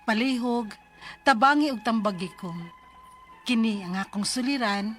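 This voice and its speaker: A woman's voice: on the high side, unhurried, fairly bright tone. Distressed mother over music. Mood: troubled, earnest. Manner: emotional, pleading. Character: anguished mother pleading for her sick adult child. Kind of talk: 90s Bisaya radio drama acting.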